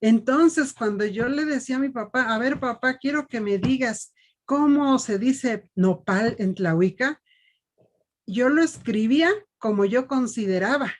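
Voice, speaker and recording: A woman's voice: a little high, measured pace. Earnest woman teacher on a panel. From Mexico. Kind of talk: panel discussion testimony.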